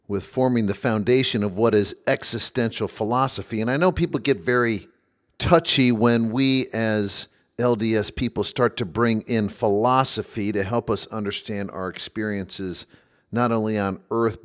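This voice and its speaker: A man hosting a solo podcast, talking in a thoughtful, conversational way with occasional wry asides. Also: medium pitch. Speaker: podcast host. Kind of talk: reflective solo podcast monologue.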